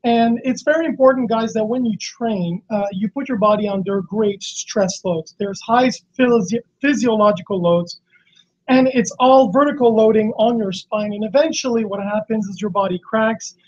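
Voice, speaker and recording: A hoarse man with laryngitis, a fitness coach teaching on a live stream in a halting, explanatory way with many restarts. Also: high-pitched, a little mellow, slight background noise. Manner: explanatory, frequent fillers and restarts. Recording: slightly dull lo-fi stream audio.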